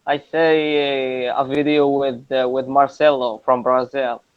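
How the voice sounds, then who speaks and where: A man in his twenties with a Moroccan accent, practising English conversation casually in halting learner phrases, often repeating words, over a lo-fi internet call. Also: mid-range voice, little pitch movement, clean tone, dry recording. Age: 20s. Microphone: video call. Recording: dull lo-fi internet call audio.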